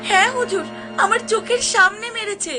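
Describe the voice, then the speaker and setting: Woman doing a scared cartoon village lady, really high bright voice, pleading. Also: a little fast, soft background music. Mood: worried pleading. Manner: plaintive, pleading. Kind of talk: Bengali cartoon voice acting.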